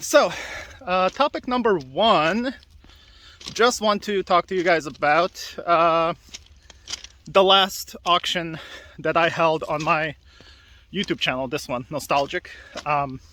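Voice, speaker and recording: A man's voice: on the high side, bright, forceful tone, slight background noise. A man hosting a casual walking video podcast outdoors, rambling and upbeat, laughing often and talking with many fillers. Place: outdoors by railway tracks.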